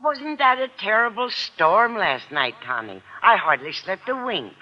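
A woman's voice: medium pitch, big pitch swings, a little slow. An American actress playing a sweet, frank old landlady, motherly and matter-of-fact, in an old radio sitcom. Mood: fussy concern. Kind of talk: 1940s-50s radio sitcom acting. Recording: dull old radio recording.

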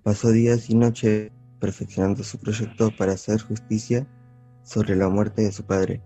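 A man's voice: lowish voice, flat delivery, a little slow, dark tone, breathy edge. A man narrating a radio drama from a script. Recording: dull sound with guitar music behind.

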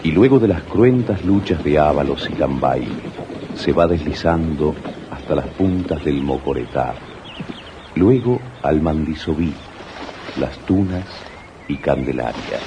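A man's voice: extremely low pitch, lively intonation, measured pace, highs cut off. A man narrating a historical radio drama as a solemn storyteller. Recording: noisy early 1980s radio recording.

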